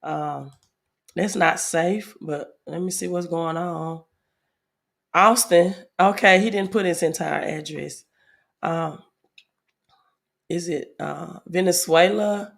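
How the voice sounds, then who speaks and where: A woman hosting a casual livestream, a little hoarse from a head cold, reading viewer comments aloud with many fillers. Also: fairly low pitch, slow pace, long pauses. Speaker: livestream host.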